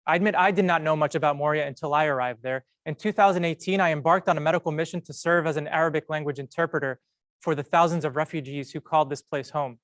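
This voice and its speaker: Young man, earnest storytelling talk, measured pace with pauses, slightly high voice. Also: fairly even intonation, a bit bright, no room sound. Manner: measured, earnest delivery with slow emphatic pauses. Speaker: medical student speaker.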